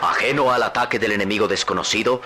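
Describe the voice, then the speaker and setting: Suspenseful male radio narrator, mid pitch, heavy music bed. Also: fast. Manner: dramatic, suspenseful. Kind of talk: scripted radio drama narration.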